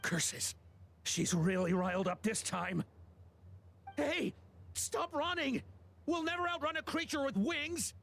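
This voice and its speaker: A man voicing an excitable, blustering little creature in a video game, prone to panic. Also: very high voice, slow pace, vocal fry, pauses often, heavily acted. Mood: combative.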